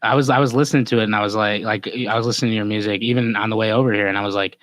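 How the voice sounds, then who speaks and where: A man's voice: fairly low pitch, steady tone, racing, fairly bright tone, dry recording. A man with an American Midwestern accent co-hosting a podcast, chatting informally and effusively with some swearing. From Indiana, US. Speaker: podcast co-host. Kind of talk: casual podcast chat.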